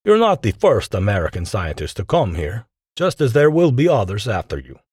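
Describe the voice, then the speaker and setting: A man voicing a proud, courteous senior scientist who speaks in a formal, deliberate way in an audiobook. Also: fairly low pitch, wildly swooping pitch, darkish tone, breathy edge, dry recording, studio quality, exaggerated voice. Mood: welcoming.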